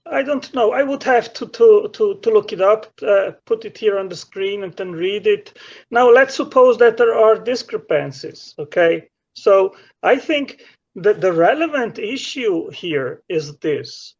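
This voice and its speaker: A man's voice: high voice, a little mellow, highs cut off. A man from Switzerland, calling in to a debate and answering hesitantly with many restarts and fillers. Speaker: caller. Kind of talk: debate on a call.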